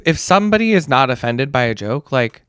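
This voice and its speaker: Give me a sassy man co-hosting a chatty podcast, lively pitch, dry close sound. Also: fairly quick, clean tone, dry recording. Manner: sassy, campy banter, calls people girl and honey. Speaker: podcast co-host. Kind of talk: casual chat.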